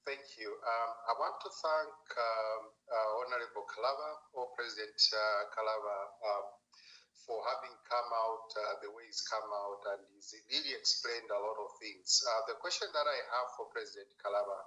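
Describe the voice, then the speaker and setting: A man calling in, politely and haltingly putting a formal question. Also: on the low side, steady tone, sharp tone, poor audio. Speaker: caller. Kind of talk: formal, hesitant question to guest.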